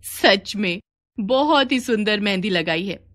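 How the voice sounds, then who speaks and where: A woman's voice: mid-range voice, expressive, measured pace, fairly bright tone. A woman voicing a kind, encouraging married neighbour in a cartoon story.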